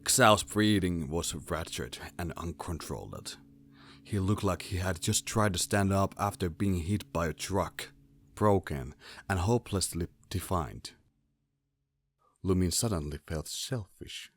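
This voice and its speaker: Low-voiced man narrating a dramatic story. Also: unhurried.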